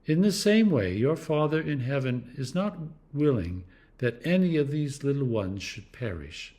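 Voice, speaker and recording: A clergyman reading scripture aloud in a deliberate, expressive way, with dramatic emphasis and pauses. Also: medium pitch. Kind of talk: scripture reading, devotional narration.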